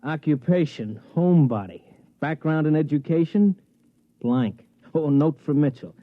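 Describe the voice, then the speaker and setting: A sardonic, slangy crook's sidekick, skeptical and a little nervous, in a fairly high voice. It is 1950s radio acting on a dull old recording. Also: male voice, expressive, a little slow, no room sound.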